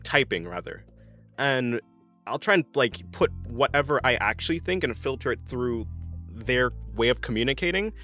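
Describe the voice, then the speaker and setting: A man's voice: medium pitch, sharp tone. Rambling young man chatting while drawing. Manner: rambling, many restarts and fillers, laughs often. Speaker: artist streamer reading chat. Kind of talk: casual livestream chat while drawing.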